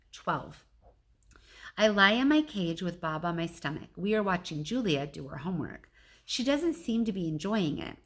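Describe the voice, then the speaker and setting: Woman reading aloud, slightly low voice. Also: a bit bright. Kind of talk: storybook narration with casual asides.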